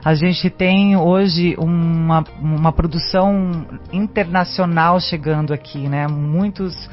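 An actress being interviewed as a guest, talking in a chatty, animated way with lots of fillers. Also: female voice, lowish voice, slow pace. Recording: dull lo-fi radio sound with faint music.

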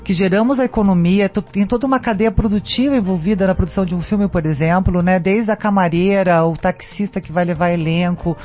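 An actress being interviewed as a guest, talking in a chatty, animated way with lots of fillers. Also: female voice, mid-range voice, a little fast, hardly any pauses. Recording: dull lo-fi radio sound with faint music.

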